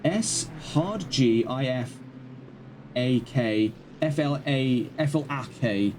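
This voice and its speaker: A man calling a live online sim race, brisk and chatty, breaking off now and then to talk to the chat. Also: mid-range voice, animated, darkish tone, slight background noise. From not Dutch. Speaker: race commentator. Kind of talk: live sim-racing play-by-play commentary.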